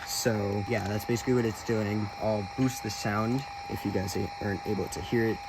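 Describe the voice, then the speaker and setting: A man giving a casual, informal product demonstration as a video host, speaking in a somewhat hesitant, halting way. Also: fairly low pitch, steady tone, very noisy. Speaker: video host, radio hobbyist.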